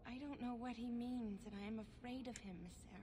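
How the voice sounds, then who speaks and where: An actress playing a troubled, gentle elven wife in a video game, speaking in a hesitant, halting way. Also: female voice, on the high side, fairly even intonation, close to an everyday voice. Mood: fearful, pleading. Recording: game audio with clear background ambience.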